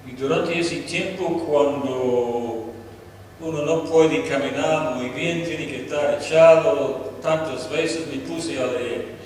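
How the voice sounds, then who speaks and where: An older man, a native English speaker from Australia, gives a personal testimony as a guest preacher in a second language, deliberately and with searches for words, pausing for an interpreter. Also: fairly high pitch, a little mellow. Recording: echoey hall, distant mic, noisy.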